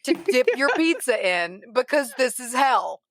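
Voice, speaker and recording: A woman's voice: high-pitched, big pitch swings, measured pace. A woman from Texas co-hosting a podcast, adding dry, wry comments as she tells road trip stories. Her voice is a little worn because she is losing it. She sits close to the mic in a dry room with no echo. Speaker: podcast co-host. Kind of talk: casual chat.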